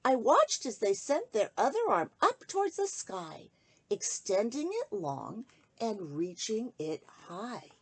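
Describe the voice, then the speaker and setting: A woman reading a rhyming children's story aloud in an expressive, sing-song way, speaking directly to young listeners. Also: on the high side, takes it slowly, a little hiss or hum.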